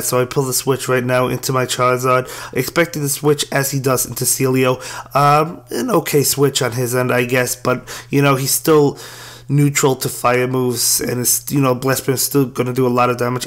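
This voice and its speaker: Hoarse man narrating his matches. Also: mid-range voice, fairly bright tone. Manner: apologetic, casual play-by-play. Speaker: game commentator. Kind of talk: gameplay commentary.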